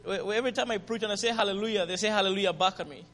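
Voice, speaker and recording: A visiting pastor with a Zambian accent preaches to a church with lively, friendly energy. His voice sits high for a man and rings through a reverberant hall. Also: rapid-fire, a little hiss or hum. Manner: lively and friendly, with call-and-response and laughter. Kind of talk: guest sermon and ministry testimony. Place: church sanctuary.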